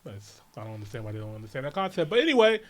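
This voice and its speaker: A man's voice: mid-range voice, very animated, racing. An American man hosting a reaction video in a laid-back, slangy chat. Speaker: reaction channel host.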